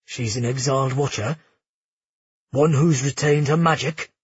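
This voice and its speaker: A man plays a brooding young prince who talks in low, clipped, dry tones. He has a husky edge and sounds close and dry. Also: unhurried, no room sound, muffled recording. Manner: dry, curt, menacing.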